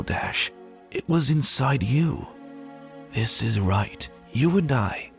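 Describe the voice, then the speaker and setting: A man voicing a lovestruck young male pony, speaking earnestly with heartfelt, dramatic pauses in a fan fiction reading. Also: fairly low pitch, vocal fry.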